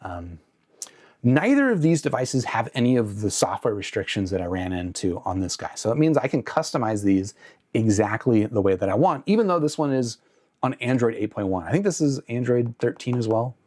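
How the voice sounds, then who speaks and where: A man's voice: mid-range voice, big pitch swings, a little fast, darkish tone. A man hosting a tech review channel, talking through products in a conversational, opinionated way. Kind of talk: casual product review to camera.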